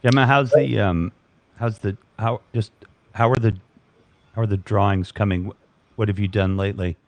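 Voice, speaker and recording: A man's voice: on the low side, fairly even intonation, normal speed, no room sound. Rambling man hosting a live chat. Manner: rambling, many restarts and fillers. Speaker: live stream host.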